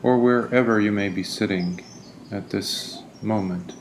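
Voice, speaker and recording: A man leading a guided meditation as a teacher, speaking in a gentle, deliberate, soothing manner. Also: fairly low pitch, a little hiss or hum.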